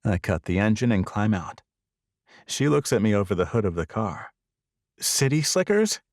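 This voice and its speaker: Low, gravelly male audiobook narrator. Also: normal speed, darkish tone, dry recording, clean studio sound. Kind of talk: first-person romance audiobook narration.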